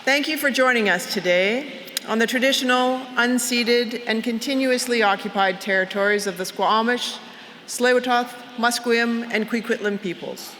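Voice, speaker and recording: A middle-aged woman with a bright, clear tone speaks in a measured, formal way as chancellor opening a university convocation. She talks at a podium microphone in an outdoor plaza. The sound carries a long reverb from the PA, with faint crowd ambience behind her. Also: on the high side, a little hiss or hum. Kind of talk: formal ceremonial address.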